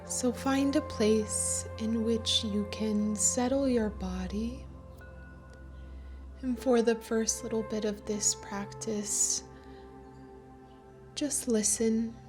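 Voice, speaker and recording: A woman leading a guided meditation in a gentle, soothing manner, with pauses between phrases. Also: a little high, fairly even intonation, music in the background. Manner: slow, gentle, soothing delivery with long pauses.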